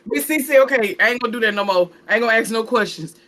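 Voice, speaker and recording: A woman hosting a church panel discussion, lively and preacherly, repeating her phrases. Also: fairly high pitch, very fast.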